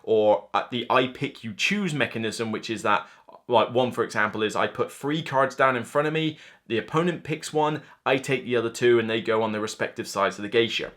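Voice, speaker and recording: A man in his thirties giving a casual, unscripted board game review to camera, chatty and animated, acting out imagined reactions. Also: mid-range voice, brisk. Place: indoor room lined with shelves of board games.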